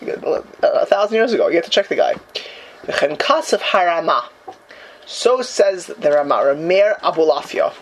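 A man's voice: a little high, rough recording. Guy teaching talmud class, chatty, keeps asking the students right?, bit of hiss. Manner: conversational, checks in with students, acts out quoted people.

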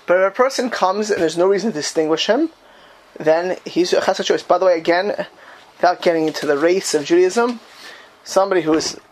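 A man's voice: high-pitched, brisk, low-quality recording. Man teaching a Talmud class. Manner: conversational, checks in with students, acts out quoted people.

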